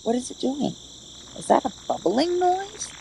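A woman playing a wary, skeptical female sidekick with an expressive, questioning delivery in an audio play. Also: high voice, a little slow, gravelly, full character voice. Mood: incredulous. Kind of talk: amateur audio play acting. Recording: steady noise behind the voice.

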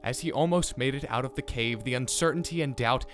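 Male actor as intense professor, audio drama, theatrical storyteller, deliberate phrasing, mid-pitched, music underneath. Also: fairly bright tone, natural voice. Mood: hushed, grave. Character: mythology professor, grave storyteller turning frantic and obsessive. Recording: staged old classroom recording, music underneath.

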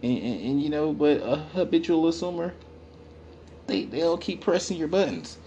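A man with a naturally deep voice delivering a rambling, repetitive, profane comedic rant, full of fillers. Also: animated, normal speed, warm tone, dull audio, slight background noise.

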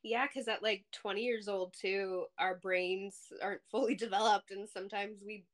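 A woman's voice: mid-range voice, fairly even intonation, normal speed. A woman in her thirties with a young-sounding voice, chatting casually and playfully as a podcast co-host. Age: 30s. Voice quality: youthful-sounding. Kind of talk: casual chat.

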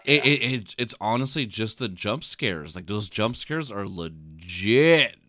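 A man's voice: fairly low pitch, very animated, measured pace, bright, forceful tone, no room sound, clean studio sound. A man co-hosting a podcast in casual chat, sleepy after a short night, rambling with many restarts and repeated words. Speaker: podcast co-host. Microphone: podcast mic.

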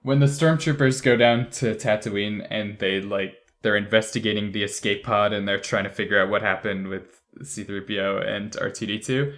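A man's voice: on the low side, steady tone, normal speed, few pauses, fairly bright tone. A man hosting a casual podcast review, riffing jokily on absurd ideas as he chats. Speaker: podcast host. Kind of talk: casual chat, reviewing a radio drama.

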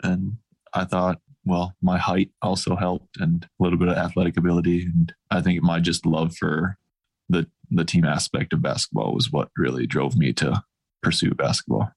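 A man in his thirties, a professional basketball player, answering interview questions in a relaxed, thoughtful way. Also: low voice, moderate pace, creaky, slightly rough. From grew up across Asia, mostly Taiwan.

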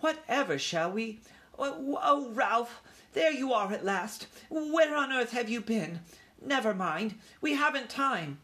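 A man's voice: high voice, huge pitch swings, slow pace, few pauses, a bit bright, voice pushed up, full character voice. A man voicing an agitated mother mouse in a storybook, flustered and prone to stammering.

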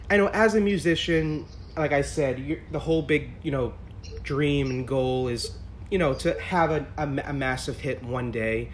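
A man hosting an interview with a higher pitched voice, asking eager, rambling questions. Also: a little hiss or hum. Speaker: interview host. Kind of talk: casual interview conversation.

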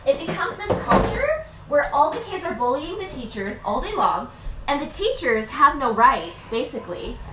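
Chatty woman, high bright voice, lots of likes and rights, echoey room with faint hiss. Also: sing-song, normal speed. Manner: rambling, many fillers and "right?" tags. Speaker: business owner. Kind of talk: casual reflective monologue.

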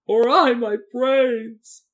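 A man's voice: on the high side, big pitch swings, moderate pace, pitched above the performer's own voice. A man voicing a simple, outspoken, plaintive scarecrow in a storybook. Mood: grieved.

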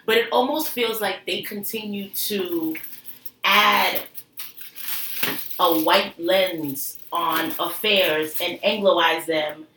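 A woman's voice: medium pitch, fairly bright tone. A woman making emphatic points in a casual group chat, often asking "right?". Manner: emphatic, frequent rhetorical "right?". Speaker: discussion panelist. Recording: echoey room, faint noise.

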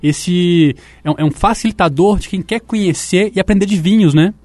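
A man's voice: high-pitched, moderate pace, darkish tone, no room sound. A man hosting a radio interview, asking his guests leading questions with enthusiasm. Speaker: radio host.

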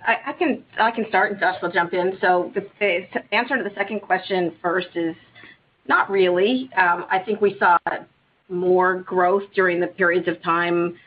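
A man speaking as a company executive on an earnings call, answering in an informal, halting way. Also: high-pitched. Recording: noisy, tinny phone line.

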